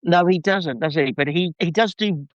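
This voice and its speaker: A man's voice: a little high, moderate pace, no echo. A man chatting enthusiastically and effusively as a podcast guest. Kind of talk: casual enthusiastic review chat. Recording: slightly dull, lo-fi microphone sound.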